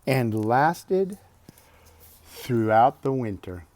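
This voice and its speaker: A man reads a picture book aloud to children in a mellow voice. His pitch rises and falls expressively, and he stretches words, pauses and slips in casual, chatty asides. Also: sing-song, no echo. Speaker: storybook reader.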